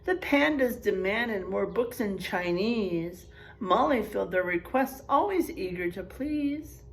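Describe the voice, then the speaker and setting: A woman's voice: mid-range voice, lively intonation, measured pace, creaky, slight background noise, low-quality recording. A woman teacher reading a picture book aloud to children, expressively, stretching out rhymes and adding chatty, exclaiming asides.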